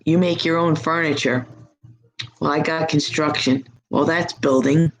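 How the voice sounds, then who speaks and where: Hoarse middle-aged lady rambling on a live stream, gravelly husky voice, bit of faint noise behind. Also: low-pitched, steady tone, a little slow, poor audio. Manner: chatty, rambling, upbeat. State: hoarse, losing her voice. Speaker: psychic reader hosting a live stream. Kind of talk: casual live-chat monologue. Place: at home.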